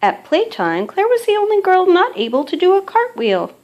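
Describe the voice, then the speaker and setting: A woman reading a children's picture book aloud as a mother would, in measured, expressive storytelling. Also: very high-pitched, lo-fi sound.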